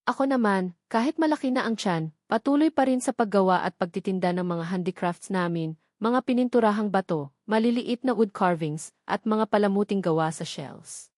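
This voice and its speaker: A woman's voice: medium pitch, moderate pace, darkish tone, no room sound, clean studio sound. A woman reading a scripted first-person love story as dramatic narration, in an emotive, sentimental storytelling manner.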